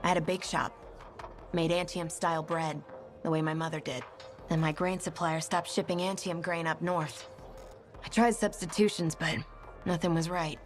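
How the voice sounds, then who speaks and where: A woman's voice: fairly low pitch, fairly even intonation, normal speed, close to an everyday voice. A woman playing a prickly, world-weary townswoman, blunt and resigned, in a video game. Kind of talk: video game dialogue acting.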